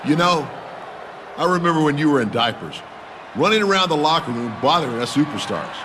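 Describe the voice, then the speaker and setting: A man's voice: mid-range voice, noisy background. A man playing a rich, condescending older wrestling veteran in a video game, smug and lecturing.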